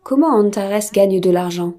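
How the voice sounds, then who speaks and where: A woman from Argentina interviews a company head with calm, polite questions. Her voice is mellow and warm and sits at a mid pitch. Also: unhurried. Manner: polite, inquiring.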